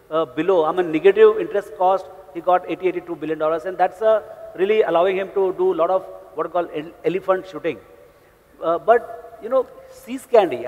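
Brief: middle-aged Indian panelist, high voice, halting with frequent fillers, hall reverb. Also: male voice, a little mellow. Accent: Indian English. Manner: hesitant, many "uh" fillers, gestures with hands. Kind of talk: panel discussion. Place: indoor conference stage. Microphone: lapel mic. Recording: reverberant hall, lapel mic.

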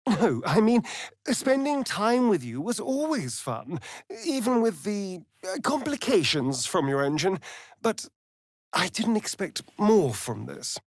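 A man's voice: sits high, no room sound, studio quality, exaggerated voice. A male actor voicing a sly, vain vampire rogue in a fantasy video game, with an arch, flamboyant, sardonic drawl. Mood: seductive, pleased.

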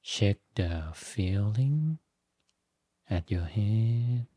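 Very slow, dark-voiced man guiding meditation. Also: lowish voice, lively intonation, dry recording, studio quality. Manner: slow, gentle instructions in short phrases with long pauses. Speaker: Buddhist monk, meditation teacher. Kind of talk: guided meditation.

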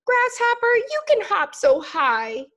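A woman's voice: very high voice, big pitch swings, takes it slowly, a bit bright, voice pushed up, exaggerated voice. A woman voicing a whiny little caterpillar girl. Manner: whiny, wistful, pleading.

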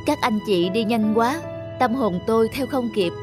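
A woman voicing a weary, philosophical male guide in a cartoon dub, speaking slowly and solemnly over music. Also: on the high side, lightly acted. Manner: slow, solemn, deliberate. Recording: music under the voice.

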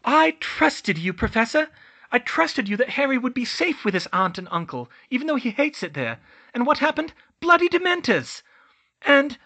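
Hermione: a man putting on a shrill, strained teen witch. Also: big pitch swings, normal speed, a bit bright, full character voice. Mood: outraged. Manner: high, strained, outraged outbursts. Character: young teen witch girl, clever, anxious, quick-tempered.

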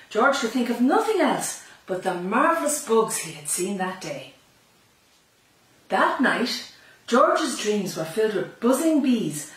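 An Irish woman reads a children's storybook in a highish, very animated voice. She is expressive and slips in chatty asides to her young listeners. The room is very echoey. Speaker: librarian reading a children's picture book. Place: small library room lined with bookshelves. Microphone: camera a few metres away. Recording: echoey room, slightly distant mic.

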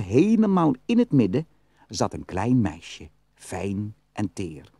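A man narrating a fairytale as a storyteller in an expressive, scripted style. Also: mid-range voice, breathy edge, dry recording. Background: music.

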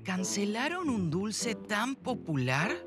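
A woman's voice: medium pitch, sing-song, slow pace. A woman voicing a suspicious, dramatic conspiracy-minded girl in a cartoon. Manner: dramatic, skeptical. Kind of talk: animated cartoon dubbing. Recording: loud music underneath.

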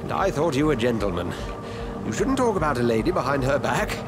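An actor playing a genial, eloquent doctor in a video game, with a British accent and a formal, theatrical, gentlemanly way of speaking. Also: male voice, mid-range voice, very animated, a little fast, close to an everyday voice. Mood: composed, earnest. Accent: British English. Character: genial, eloquent English doctor and hospital administrator, enthusiastic. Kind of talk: video game dramatic acting. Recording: loud music behind.

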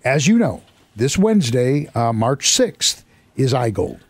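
A man hosting a talk radio show from a studio, his voice hoarse and worn as he loses it. He chats along casually, jokes at himself and rambles between the announcements. The sound is dry and close, with no room around it. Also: mid-range voice, big pitch swings, unhurried, no echo. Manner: chatty, self-deprecating, rambling. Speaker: radio host. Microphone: studio microphone.

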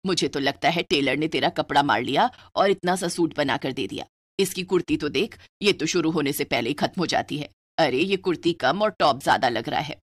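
An exasperated older mother-in-law from an animated family tale, acted out by a woman. Her voice sits low, and she speaks as a long-suffering elder of the household. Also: fairly quick, no echo. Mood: exasperated, scolding. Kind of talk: animated moral story dialogue.

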